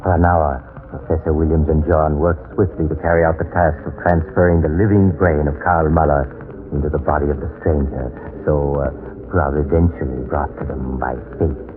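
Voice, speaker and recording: An actor playing the storyteller of a horror tale, narrating in a measured, solemn old-time radio style. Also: male voice, rumbling low, steady tone. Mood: solemn, suspenseful. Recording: tinny old radio recording, music behind.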